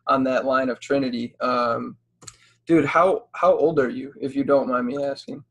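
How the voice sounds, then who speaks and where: Man, early twenties, American accent, medium pitch, informal interviewer, slangy bro talk. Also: moderate pace, a bit bright. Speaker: podcast host. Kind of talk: casual conversational interviewing.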